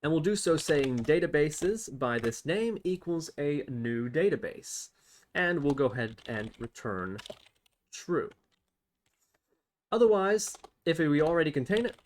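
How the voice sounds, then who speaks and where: A man's voice: on the high side, sing-song, unhurried, slight background noise. A man hosting an unscripted programming tutorial, thinking aloud step by step as he types. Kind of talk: unscripted screen-recorded coding tutorial.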